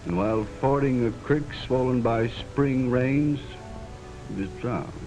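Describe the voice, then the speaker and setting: A man plays an earnest narrator in a 1940s picture, looking back on his father's life. Orchestral soundtrack music plays clearly beneath the voice. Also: mid-range voice, measured pace, highs cut off, natural voice. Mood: reflective. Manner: earnest, respectful. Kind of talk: 1940s film narration and acting.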